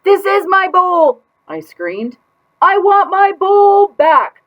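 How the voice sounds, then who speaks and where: Lady doing a dramatic fed up storybook goldfish, big swoopy expressive delivery, slightly muffled lo-fi recording. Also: very high-pitched, voice pushed up, heavily acted. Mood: frantic, fed up. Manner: exaggerated, exasperated, drawn-out emphasis. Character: dramatic, put-upon pet goldfish keeping a diary. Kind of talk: expressive children's picture-book read-aloud. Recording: dull lo-fi sound.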